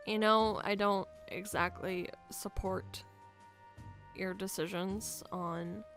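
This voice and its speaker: A woman acts out a protective young best friend in an improvised game roleplay. She talks slowly and carefully, hesitating often, with a noticeable vocal fry. Soft music sits faintly behind her. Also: medium pitch, fairly even intonation. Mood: reluctant. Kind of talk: improvised Minecraft roleplay acting.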